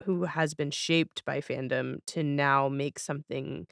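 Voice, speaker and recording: A woman's voice: low-pitched, fairly even intonation, measured pace, creaky, no echo, studio quality. A woman hosting a casual podcast interview, speaking in a reflective, agreeable and informal way.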